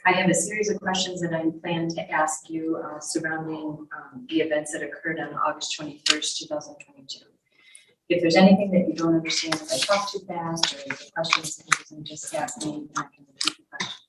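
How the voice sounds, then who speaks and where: A woman's voice: deep voice, expressive, fairly quick. A woman speaking as a prosecutor in a courtroom, questioning a witness in a formal, orderly, procedural way. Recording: echoey courtroom, distant mic, faint noise.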